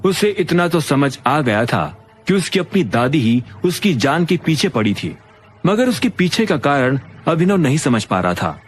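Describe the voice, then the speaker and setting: A man's voice: fairly high pitch, sing-song, a little slow, slight background noise. A man narrating a scripted dramatic audio story.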